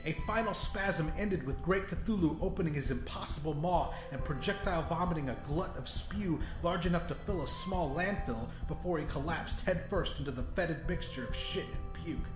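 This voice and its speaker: A man reading a scripted horror story as an audiobook narrator. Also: on the high side. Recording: roomy echo with music. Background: music.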